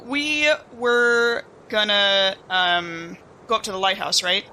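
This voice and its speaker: A woman's voice: mid-range voice, slow pace, pushed and bright, poor audio. A woman playing on a tabletop game podcast, chatting casually with the others. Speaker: podcast player. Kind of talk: casual chat.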